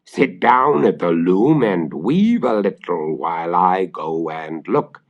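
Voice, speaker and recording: A man voicing a horrid, sly old witch in a storybook tale, with a grating, slow, drawn-out voice. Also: low-pitched, animated, exaggerated voice. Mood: sly, falsely sweet. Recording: dull vintage vinyl record transfer.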